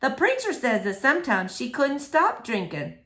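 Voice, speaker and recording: Woman voicing an earnest young girl, high and animated. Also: moderate pace. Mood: hesitant probing. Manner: questioning, innocent, polite. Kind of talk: children's novel read aloud.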